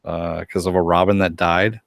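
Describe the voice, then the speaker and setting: A man with a lowish voice co-hosts a casual podcast, bringing up news and slipping in quick puns. His sound is close and dry. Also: little pitch movement, vocal fry, a bit bright, no echo. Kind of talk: casual chat.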